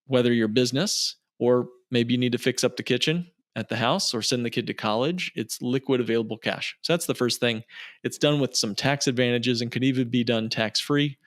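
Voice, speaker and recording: A man's voice: mid-range voice, fairly quick, no room sound, clean studio sound. A man speaking as a financial planner guest on an interview podcast, explaining conversationally and emphatically, with repetition for effect.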